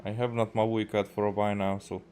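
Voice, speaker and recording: A man from Hungary with a Hungarian accent gives casual, halting gameplay commentary, half to himself as he streams. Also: on the low side, little pitch movement, darkish tone, a little hiss or hum. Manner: halting, muttering to himself while playing. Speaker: game streamer. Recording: game music behind. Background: video game music.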